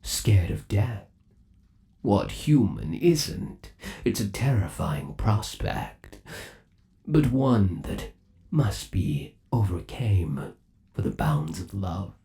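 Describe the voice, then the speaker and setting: A man acting as an obsessively devoted undead animatronic lover in an ASMR roleplay. His low voice moves slowly and swings widely in pitch. He coaxes theatrically, savoring every endearment with eerie tenderness. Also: big pitch swings, creaky, warm tone, exaggerated voice. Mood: tender, reassuring. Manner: theatrical, coaxing, lingering on endearments.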